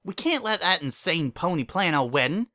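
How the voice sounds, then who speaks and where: A man's voice: fairly high pitch, sing-song, moderate pace, pushed and bright, no room sound, studio quality, pitched above the performer's own voice. A man voicing a fretful, sensible female pony fiancée with an earnest manner in a story reading. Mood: worried, eager. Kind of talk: dramatic reading of fan fiction.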